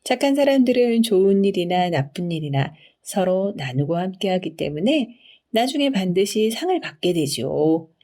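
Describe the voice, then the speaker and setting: Female storybook reader for children's bedtime tales: lowish, mellow, expressive delivery. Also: moderate pace. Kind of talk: scripted bedtime fairy-tale narration for children.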